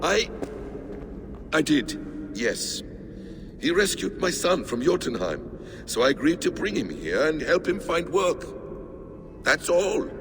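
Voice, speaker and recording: A defiant trickster god from a Norse fantasy game. His delivery is sardonic and theatrical, swinging widely in pitch with a gravelly creak. It sits in long reverb. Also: big pitch swings, takes it slowly, pauses often, full character voice. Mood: evasive. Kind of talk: video game cutscene acting. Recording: long reverb, music behind.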